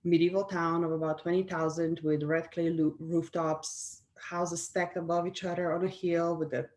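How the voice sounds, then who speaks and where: A middle-aged woman speaks English with a Slovenian accent, her voice sitting a little low for a woman. She talks reflectively, restarting phrases and laughing lightly as she shapes her thoughts. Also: little pitch movement, normal speed, a little mellow. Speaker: guest speaker. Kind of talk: conversational interview answer.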